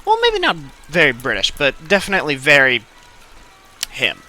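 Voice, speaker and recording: A man's voice: fairly high pitch, wildly swooping pitch, moderate pace, bright, forceful tone. A man hosting a let's play with casual, hesitant, rambling gameplay commentary.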